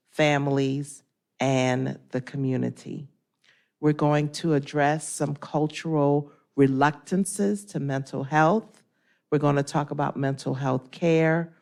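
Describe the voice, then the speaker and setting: A woman counselor facilitating a panel discussion, measured and organized as she introduces the topic and guests. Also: low-pitched, darkish tone. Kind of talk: formal panel moderation.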